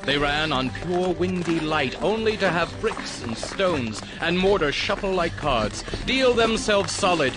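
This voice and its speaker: An actor playing the narrator of a spooky tale, reading in a dramatic, incantatory audiobook style. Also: male voice, a little high, lively intonation, a little slow, few pauses. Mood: vivid, driving. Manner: incantatory, building lists. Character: male storyteller of a spooky, vivid Halloween tale. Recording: prominent music behind the narration.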